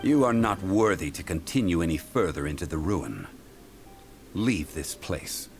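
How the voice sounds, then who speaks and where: A stern formal scholar type in a game, very low voice, measured, faint music. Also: male voice, animated. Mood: commanding. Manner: measured, formal, solemn delivery. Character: calm, dignified, scholarly man with stern authority. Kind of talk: video game voice acting.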